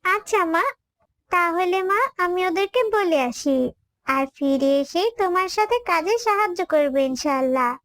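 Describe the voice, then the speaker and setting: A performer voicing a polite, devout little cartoon girl who is helpful and speaks in an earnest, gently instructive way. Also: very high-pitched, lively intonation, measured pace, dry recording, exaggerated voice. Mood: eager, polite. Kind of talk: cartoon dialogue.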